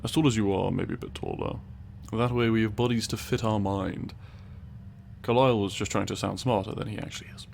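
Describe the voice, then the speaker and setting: A man acts out a pedantic tiny male scientist in a comedic audio roleplay, low and gravelly. He is dry, sarcastic and condescending, choosing long, precise words with weary patience. A steady hiss sits behind the voice. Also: brisk.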